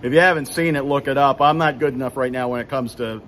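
A man's voice: medium pitch, quick pace, a bit bright, slight background noise. A man in his fifties, hosting a casual postgame recap in a hockey arena, rambling with many fillers and restarts. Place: indoor hockey arena beside the rink. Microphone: phone camera at arm's length.

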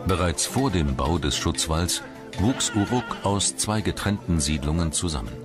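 A man's voice: low voice, expressive, normal speed. A man reading scripted documentary narration in standard German. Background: background music.

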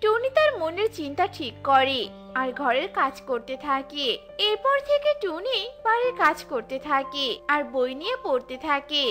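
A woman narrating a cartoon story in an expressive storybook manner. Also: high-pitched, normal speed, faint music behind.

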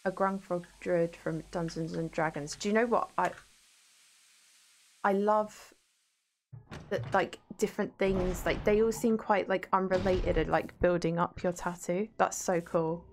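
A woman's voice: fairly low pitch, steady tone, measured pace, darkish tone. A young woman streaming a game, chatting casually and greeting viewers by name, with faint game music behind her. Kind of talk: casual gameplay chat, reading chat aloud.

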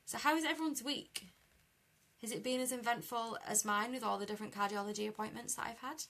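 A young British woman with a croaky voice chatting easily with live viewers while she colours at a craft desk. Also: on the high side, fast, fairly bright tone. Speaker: card-making demonstrator. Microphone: overhead camera over a desk.

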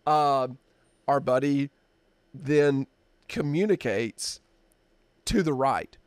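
A man in his fifties hosting a podcast, telling a story in a halting, drawn-out way with many fillers, at a desk mic in a dark studio. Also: mid-range voice, no room sound. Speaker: podcast host. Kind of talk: casual storytelling chat.

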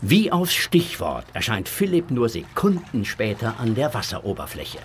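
A man's voice: mid-range voice, wildly swooping pitch, normal speed, loud music behind the voice. A man narrating a children's audio drama from a script, in the present tense.

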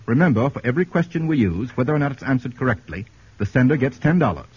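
A man hosts a 1940s radio quiz show in a medium-pitched voice. The sound is very rough and husky, carried on a dull, scratchy vintage broadcast. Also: expressive, fast talker, a little mellow.

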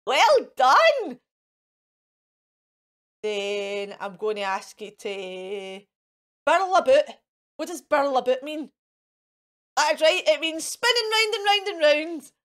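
A Scottish woman plays fairy host for young children. Her voice sits high and swoops widely, at a slow, deliberate pace. She coaxes with questions and praises in an exaggerated sing-song way. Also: big pitch swings, a bit bright. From Scotland. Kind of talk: playful children's presenting to camera. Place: indoors in front of a fairy backdrop.

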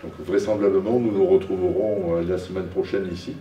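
A man's voice: low-pitched, steady tone, a little fast, mellow, highs cut off, slight background noise. An older man hosting a public debate, speaking with many hesitations and fillers while standing. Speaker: event host. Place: small lecture room. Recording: roomy and distant.

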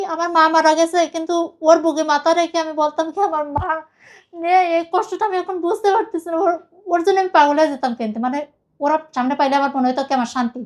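High-voiced, fast-talking Bangladeshi woman. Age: middle-aged. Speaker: guest telling their life story. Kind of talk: emotional personal account in conversation.

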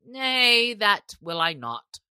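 Lady doing a rough proud archer guy from a storybook, defiant, pushed up and forceful. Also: mid-range voice, sing-song, slow pace, no echo, voice pushed up, heavily acted. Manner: rough, defiant, archaic speech. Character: proud, gruff male archer stranger in disguise.

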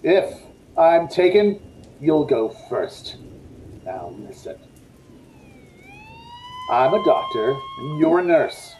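A man's voice: on the high side, dull audio, a little hiss or hum. A man playing a tired, menacing gunman on the run in an old-time radio suspense drama, speaking curtly. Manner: curt, threatening. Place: indoors at home on a video call.

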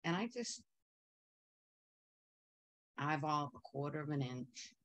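A woman's voice: low-pitched, lively intonation, slow pace, fairly bright tone, no room sound, low-quality recording. A woman teaching a quilting technique in a chatty, upbeat way, wandering into asides while she sews. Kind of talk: casual sewing tutorial.

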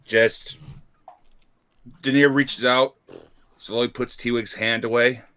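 Guy roleplaying in a tabletop game, slow and deliberate, medium pitch. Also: level tone, a bit bright, clean tone. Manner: measured, deliberate phrasing. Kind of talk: in-character roleplay and description.

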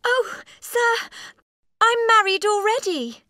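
A woman's voice: medium pitch, expressive, moderate pace, no echo, studio quality. An actress playing a clever, coy, scheming young woman in a dramatised audiobook. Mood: distressed. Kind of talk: dramatised audiobook character acting.

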